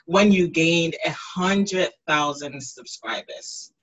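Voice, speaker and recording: A woman's voice: low-pitched, takes it slowly, rough recording. A woman in her thirties hosting an interview over a video call from home, affirming her guest often. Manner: warm affirming interviewer, many mm-hmms. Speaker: interviewer, show host. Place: room at home. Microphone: video call webcam.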